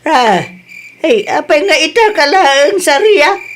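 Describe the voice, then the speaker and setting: An actress as a high-pitched Ilocano village wife and mother, chatty and easygoing. She talks in a radio comedy drama style over a noisy track with chirping insects. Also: big pitch swings, slow, exaggerated voice. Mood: scolding, insistent.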